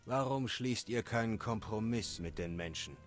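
Casting: male actor as terse, stoic monster hunter, very low voice, fantasy game with light music. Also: moderate pace, natural voice. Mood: stoic, inquiring. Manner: terse, matter-of-fact. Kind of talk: scripted game dialogue.

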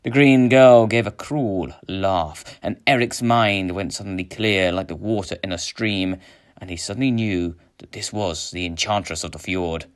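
A man reading aloud a children's adventure story in an expressive narrating style. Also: on the low side, smooth. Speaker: story reader.

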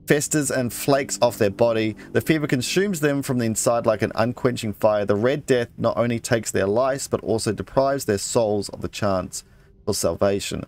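A man playing a video game, casually commenting, reading in-game texts aloud and muttering asides to himself. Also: medium pitch. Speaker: let's play gamer.

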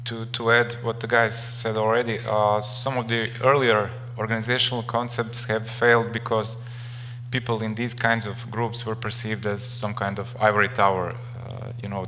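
Measured man with a flat voice. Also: lowish voice, slight background noise, poor audio. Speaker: panelist. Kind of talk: conference panel discussion. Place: conference stage before an audience.

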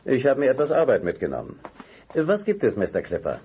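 An actor playing a courteous, earnest middle-aged businessman in a German children's radio play, husky and formal, with emphatic delivery. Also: mid-range voice, huge pitch swings, fast talker, warm tone, slight background noise.

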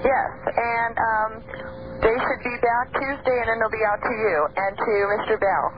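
A woman's voice: fairly high pitch, steady tone, normal speed. A woman calling in to a radio show, speaking briefly and in a friendly way, a little hesitant. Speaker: caller. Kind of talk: phone-in call. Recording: muffled phone line on the radio, faint hiss.

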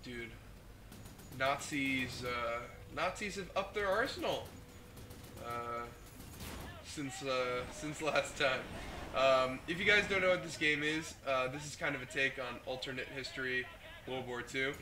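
A young man with the flu commentates on a game he is playing, chatting casually, swearing and reacting out loud to what happens on screen. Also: medium pitch, unhurried. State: sick with the flu, hoarse. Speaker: gaming host. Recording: noisy home mic recording.

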